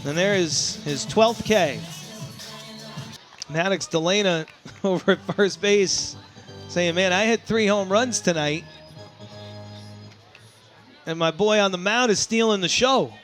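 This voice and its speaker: High, bright-voiced man calling baseball live. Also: slow, pauses often, soft background music. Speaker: play-by-play baseball announcer. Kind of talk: live sports play-by-play commentary.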